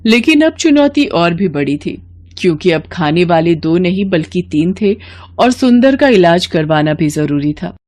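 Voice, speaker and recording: A woman's voice: fairly low pitch, a little mellow, slight background noise. A woman narrator telling a scripted cartoon story in storybook style.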